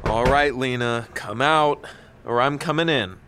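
A man's voice: medium pitch, measured pace, background noise, lightly acted. A man playing a teasing, determined assassin who wants to go straight, coaxing and emphatic, in an audio drama. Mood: firm.

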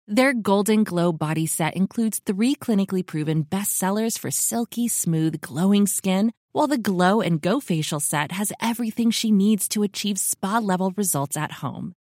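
A woman reads scripted advertising copy in a medium-pitched voice. The recording is dry, with no room sound around her. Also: darkish tone, dry recording. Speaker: advertisement reader. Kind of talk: scripted ad read.

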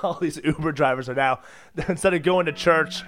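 A man's voice: on the high side, normal speed, a bit bright, dry recording, clean studio sound. A man co-hosting a comedy podcast in casual, joking chat.

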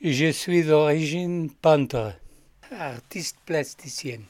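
Male interview guest, Portuguese accent, unhurried, brief plain replies, dry close recording. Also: fairly high pitch, a little mellow, dry recording. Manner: brief, simple answers. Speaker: puppet maker and artist, interview guest. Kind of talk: casual interview answers.

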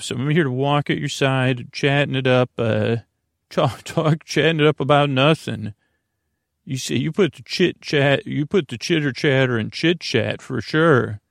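A man hosting a sleep podcast, chatting in a meandering, halting, digressive monologue with a gravelly, creaky voice that often trails off mid-sentence. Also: mid-range voice, no room sound, clean studio sound. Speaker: podcast host.

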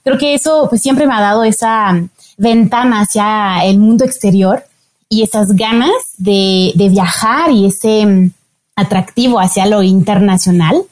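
A woman's voice: mid-range voice, a little slow, darkish tone. A woman from France with a slight French accent, a guest answering interview questions in a thoughtful way with many hesitations.

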